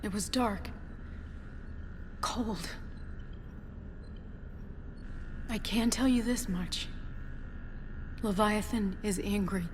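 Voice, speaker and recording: A woman's voice: medium pitch, slow, a little mellow, lightly acted. A brave, stubborn young woman researcher in a dramatic game , acted by a woman. Her delivery is earnest and insistent, in a reverberant room with a faint ambient hum. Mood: shaken. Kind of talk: dramatic video game acting.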